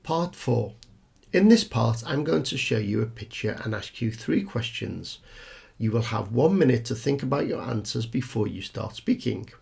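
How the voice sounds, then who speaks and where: A man delivers scripted exam instructions as a tutor and examiner. His mid-pitched voice moves up and down in a lively way, with a creaky edge, and he explains things clearly. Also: normal speed.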